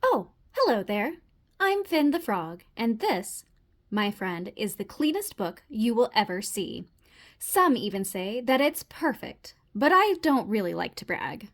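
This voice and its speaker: A woman's voice: mid-range voice, sing-song, measured pace, fairly bright tone, voice pushed down. A woman voicing a fussy, easily panicked neat-freak male frog in a theatrical, indignant manner for a children's storybook. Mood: proud, chatty. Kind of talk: children's storybook read-aloud.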